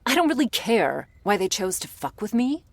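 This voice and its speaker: A woman playing a jaded, prickly monster hunter in a roleplay audio drama, blunt and sardonic, with a low gravelly voice. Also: very animated, moderate pace, no echo. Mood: irritated, defiant.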